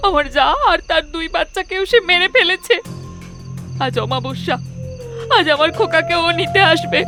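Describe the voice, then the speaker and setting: A woman voicing a frightened young village mother in an animated horror cartoon, speaking in a fearful, pleading way. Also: very high-pitched, normal speed. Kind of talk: animated horror story dub. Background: loud background music.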